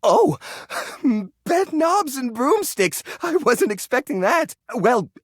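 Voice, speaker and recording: Casting: a fussy, proud hoarder-curator for a game; nervous stammer, theatrical, very lively pitch swings, dry close sound. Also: male voice, takes it slowly, no room sound, full character voice. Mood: flustered. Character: flustered, defensive hoarder junk drawer, proud self-styled curator. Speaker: video game voice actor. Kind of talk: animated video game character acting.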